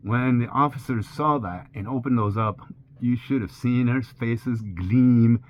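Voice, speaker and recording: A man's voice: mid-range voice, measured pace. A man in his sixties whose first language is French tells his own story in a plain, candid way, with a mid-range voice. The recording is a little dull, with a faint hiss behind him. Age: 60s. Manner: plain, candid retelling with steady pacing. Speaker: sailor vlogger. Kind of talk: personal storytelling narration.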